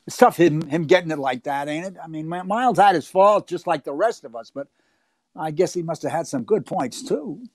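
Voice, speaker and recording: An actor playing a hesitant, conciliatory police detective, plain-spoken and halting, in 1940s radio drama style. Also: male voice, on the high side, lively intonation, no room sound. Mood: hesitant, sympathetic.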